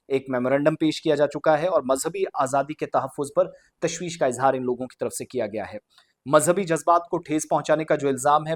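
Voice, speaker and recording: Higher-pitched man giving news commentary. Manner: Urdu-heavy vocabulary mixed with English words. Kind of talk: unscripted news commentary to viewers.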